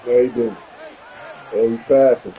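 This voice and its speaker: A man's voice: mid-range voice, steady tone, a little slow, narrow, tinny audio, a little hiss or hum. Profane trash-talking man in his fifties. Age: 50s. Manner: profane, boastful, taunting; repeats phrases. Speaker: gamer streaming online match. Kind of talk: trash-talking live gameplay chat.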